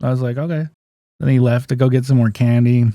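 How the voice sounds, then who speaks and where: Male, 50s, interview guest. Mid-pitched, mellow delivery, anecdotal and plain-spoken, casual storyteller. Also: fairly even intonation, brisk, no echo, studio quality. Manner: anecdotal, acts out remembered dialogue, folksy plain-spoken phrasing. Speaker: guest, physical therapist and clinic owner.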